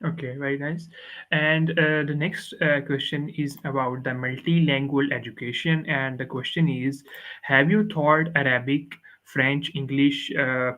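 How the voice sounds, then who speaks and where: Halting male interviewer, bright voice. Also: medium pitch, steady tone, low-quality recording. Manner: halting, many fillers and restarts. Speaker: podcast host, interviewer. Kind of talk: interview questions.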